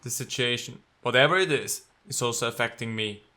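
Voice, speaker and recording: A man's voice: mid-range voice, a little fast, pushed and bright. A man doing let's play commentary, reading game text aloud and reacting with sarcasm and exasperated swearing. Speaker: let's player.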